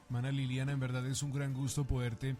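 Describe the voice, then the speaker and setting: Male co-host, courteous and formal, mid pitch, faint music underneath. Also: completely flat delivery, fairly quick, mellow. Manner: courteous, with hesitant fillers. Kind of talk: formal radio interview hosting.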